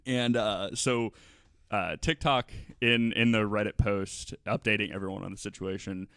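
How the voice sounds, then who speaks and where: A man telling a story in a casual, halting podcast style, often repeating words as he goes. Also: fairly low pitch, fairly even intonation, fairly bright tone, clear, resonant tone, no echo, clean studio sound. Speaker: podcast guest panelist.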